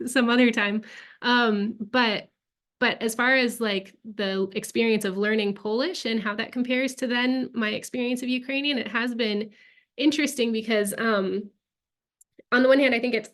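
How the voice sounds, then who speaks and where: A young woman presenting her research and answering audience questions, thinking aloud with many pauses and restarts. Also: fairly high pitch, fairly bright tone. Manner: thoughtful, hesitant, many ums and restarts. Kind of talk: academic Q&A answer.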